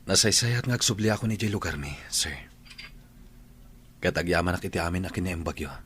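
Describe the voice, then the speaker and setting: A man's voice: deep voice, lively intonation, brisk, fairly bright tone, slight background noise. A man acting an earnest, troubled young working man in an Ilocano radio drama. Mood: troubled, apologetic.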